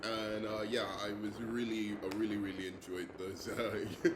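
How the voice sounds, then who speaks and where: A man's voice: lowish voice, little pitch movement, normal speed. A young English man with a London accent, introducing himself as an English teacher, with frequent ums and uhs. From England. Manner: hesitant, many ums and uhs. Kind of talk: self-introduction video. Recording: echoey room with noise.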